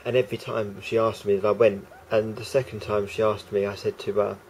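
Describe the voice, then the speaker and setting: A man with a croaky voice talking casually to camera as a vlogger, rambling and hesitant with many fillers and restarts. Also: lowish voice, flat delivery, fairly quick, darkish tone, slight background noise, low-quality recording.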